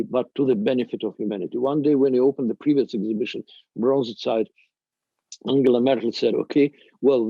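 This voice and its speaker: An older man with a Russian accent gives a halting, emphatic presentation as a museum director, in non-native English. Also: mid-range voice, no room sound, lo-fi sound. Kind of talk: extemporaneous panel presentation.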